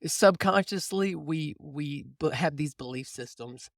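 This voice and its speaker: A man's voice: on the high side, a little slow, creaky, no room sound, clean studio sound. A man hosting a casual coaching conversation, explaining things informally and often rephrasing as he goes. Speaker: podcast host and mindset coach.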